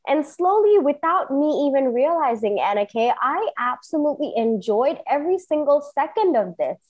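A woman in her thirties who grew up in Singapore speaks as an interview guest, recounting her own path with earnest enthusiasm. Her voice sits a little high, and she leans on emphatic repetition as she tells her story. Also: big pitch swings, normal speed, a little mellow, lo-fi sound. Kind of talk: personal storytelling in conversation.

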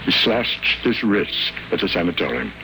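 A man's voice: sits low, big pitch swings, normal speed. An actor playing a wealthy, suspicious older businessman and father, formal and demanding, in old-time radio drama style. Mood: grave. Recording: faintly noisy old radio recording.

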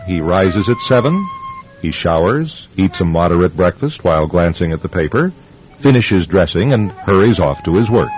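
Low-voiced man, dramatic radio host. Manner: measured, suspenseful, theatrical. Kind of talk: scripted dramatic narration. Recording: dull lo-fi radio recording, music bed. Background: music.

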